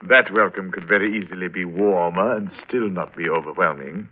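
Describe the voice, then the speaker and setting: An actor playing an imperious, sardonic uncle with suave, mocking menace in 1940s radio drama style. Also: male voice, sits low, a little fast. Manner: suave, mocking, clipped commands. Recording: old muffled radio recording.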